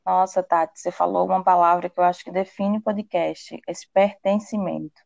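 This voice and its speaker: A woman's voice: fairly low pitch, level tone, moderate pace, vocal fry, a little mellow, dry recording, dull audio. A woman co-hosting a podcast, speaking thoughtfully in casual conversation, with hesitant restarts. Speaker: podcast co-host.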